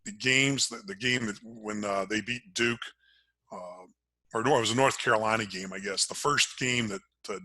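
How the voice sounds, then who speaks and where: Casting: older American male sports announcer as podcast guest. Penetrating, bright delivery in a dry close sound, emphatic phrasing. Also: on the low side, normal speed, no echo. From Maryland, United States. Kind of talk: conversational sports interview.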